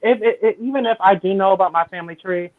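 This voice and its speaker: A woman's voice: medium pitch, quick pace, no echo. A woman with a South Carolina and Syracuse accent, chatting casually in a group call, repeating herself and trailing off mid-thought. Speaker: guest on a group chat. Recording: tinny phone-line sound.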